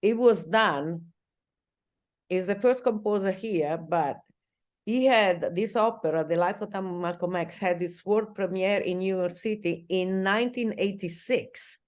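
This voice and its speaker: An Italian woman interviewing a singer, speaking English with an Italian accent in an admiring way, often pausing to find her words. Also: mid-range voice, a little mellow. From Italy.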